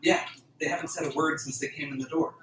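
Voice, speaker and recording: A man's voice: a little high, fairly even intonation, fast, a bit bright, lightly acted. A man acting in an amateur radio drama as an easygoing, joking young farmhand who talks casually. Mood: uneasy, curious. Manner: casual, joking, mock-scholarly at times. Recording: roomy, distant, clear background noise.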